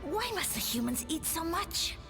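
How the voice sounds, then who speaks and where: A woman's voice: very high voice, lively intonation, moderate pace, gravelly, exaggerated voice. A woman voicing a petulant, haughty ancient mushroom lord in a game, whiny and commanding. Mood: indignant whining. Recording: long hall reverb with music.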